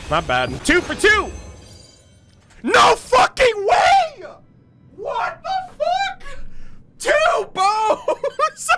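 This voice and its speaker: A man streaming a video game, excitable, swearing and yelling during fights. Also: very high voice, extremely slow, pauses often. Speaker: gaming streamer. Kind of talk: live game stream commentary. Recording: voice over loud game music.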